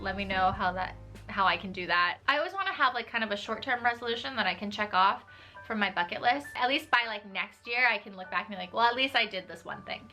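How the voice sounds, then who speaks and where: A woman's voice: mid-range voice, animated, quick pace, pushed and bright, soft background music. A young woman, talking casually to camera from her bedroom, often saying "like" and laughing lightly. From New York. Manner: chatty, uses "like" a lot, laughs lightly. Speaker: vlogger. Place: small bedroom with desk and tapestry, indoors.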